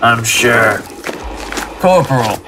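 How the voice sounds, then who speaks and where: A man's voice: medium pitch, expressive, takes it slowly. Stern military captain played by a man, noisy backdrop. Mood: menacing. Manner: clipped, authoritative. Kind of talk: audio drama acting. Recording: noisy background ambience.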